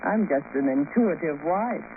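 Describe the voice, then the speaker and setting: A woman playing a proud, scornful aging wife in a theatrical, deliberate 1950s radio melodrama manner. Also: low voice, expressive. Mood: accusing, certain. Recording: noisy, tinny vintage radio recording.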